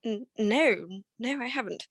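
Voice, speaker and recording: A woman plays a well-to-do wife in a 1940s radio mystery. Her high voice is genteel but flustered and hesitant, evasive and anxious, recorded close and dry. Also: expressive, measured pace, breathy edge, no room sound, exaggerated voice. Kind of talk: 1940s radio detective drama acting.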